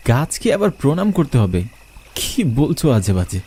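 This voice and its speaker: A young man narrating a first-person horror story, expressive and asking questions as he goes. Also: mid-range voice, moderate pace, breathy edge.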